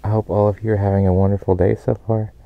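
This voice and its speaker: A man hosting an ASMR channel, speaking softly and gently to viewers, close to the microphone. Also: lowish voice, level tone, fast, vocal fry, no room sound, phone-quality sound. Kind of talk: soft-spoken ASMR.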